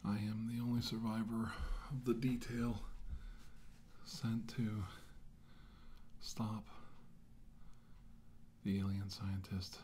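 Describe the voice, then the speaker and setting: Slow, weary military scientist played by a man. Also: lowish voice, flat delivery, warm tone, a little hiss or hum. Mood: weary, grave. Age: middle-aged. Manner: halting, solemn, sighing delivery. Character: weary, grave male military scientist giving a mission report. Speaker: ASMR roleplay performer. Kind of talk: ASMR roleplay, acted report.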